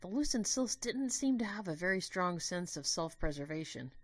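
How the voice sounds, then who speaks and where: A woman giving an earnest, expressive first-person narration of a dramatic story. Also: fairly low pitch, moderate pace, no room sound.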